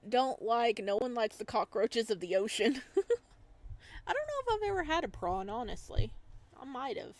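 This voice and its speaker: A woman with a fairly high voice plays through a visual novel. She narrates the text expressively and drops into casual, playful commentary as she reads. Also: big pitch swings, moderate pace. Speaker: let's player reading a visual novel aloud. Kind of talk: casual game commentary mixed with dramatic narration.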